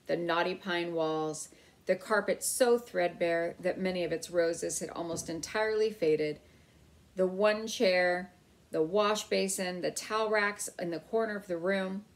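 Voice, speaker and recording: A woman's voice: on the low side, a little slow, low-quality recording. Woman reading a children's storybook aloud. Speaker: read-aloud narrator. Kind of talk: scripted storybook narration.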